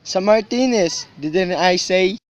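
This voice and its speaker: A man with a Filipino accent acting as an easygoing, cheerful young provincial cousin in a student radio drama, with halting English mixed with Tagalog. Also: high-pitched, muffled recording. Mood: matter-of-fact.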